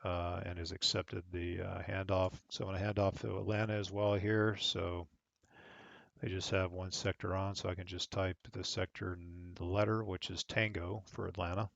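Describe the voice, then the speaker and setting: A man's voice: low-pitched, level tone, moderate pace, creaky, dry recording, dull audio. A man working as an online air traffic controller, switching between radio phraseology and casual explanatory commentary. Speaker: air traffic controller on a flight simulation network, streaming.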